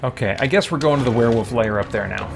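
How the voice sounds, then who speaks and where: A man's voice: medium pitch, quick pace, creaky. A man giving casual live commentary as he plays a video game, thinking aloud in a wry way. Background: video game music.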